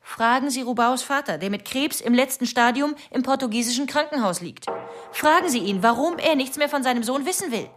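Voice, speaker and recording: Distraught accusing wife, fairly high voice, urgent, insistent, radio drama dialogue. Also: female voice, animated, fairly quick.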